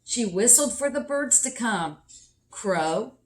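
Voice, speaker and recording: A woman reading a picture book aloud expressively for children, with deliberate emphasis. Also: a little high, animated, low-quality recording. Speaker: children's story reader.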